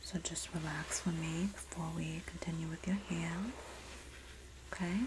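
A woman playing a sassy, supportive Jersey girl in a soft-spoken, whispered ASMR roleplay, with a New Jersey accent and a gossipy, soothing manner. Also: sits low, noisy background, lightly acted. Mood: soothing, reassuring. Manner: gossipy, flattering, soothing, drawn-out delivery. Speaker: ASMR performer.

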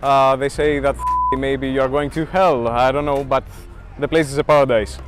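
A man's voice: medium pitch, steady tone, normal speed, over music. A man from Greece with a Greek accent, chatting friendly and a bit hesitantly in an interview on a beach with a lapel mic. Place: outdoors on a sunny beach.

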